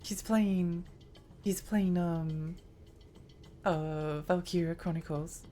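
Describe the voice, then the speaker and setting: A woman's voice: on the low side, steady tone, slow, warm tone, a little hiss or hum. A woman streaming a game live, chatting in a rambling, giggly way while her voice strains and tires. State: strained, tiring voice.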